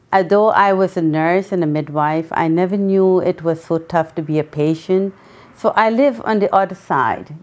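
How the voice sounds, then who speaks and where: A woman in her sixties from Guyana, answering interview questions earnestly, pausing often with ums. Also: lowish voice, a little mellow. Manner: earnest, with many pauses and ums. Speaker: guest, memoir author.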